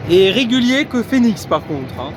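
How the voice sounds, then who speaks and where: A man's voice: high-pitched, very animated, moderate pace, noisy background. A man casually vlogging from a busy theme park, chatting to his viewers with lots of fillers. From France. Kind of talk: casual vlog commentary.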